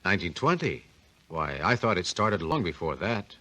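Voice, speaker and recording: A lower-pitched man hosts an old 1940s radio talk show, asking the guest folksy questions in a lively, swooping voice. The recording has the sound of a vintage broadcast. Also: moderate pace. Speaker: radio host and announcer.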